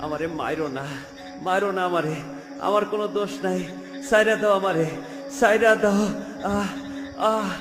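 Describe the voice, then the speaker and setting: A high-pitched, anguished cartoon ghost played by a man wails and begs for mercy. The pleas repeat through long, cavernous reverb. Loud music sits behind the voice. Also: fairly even intonation, normal speed, pauses often, natural voice. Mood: anguished pleading. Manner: wailing, begging, repeating pleas. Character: pleading ghost of a murdered corpse, anguished.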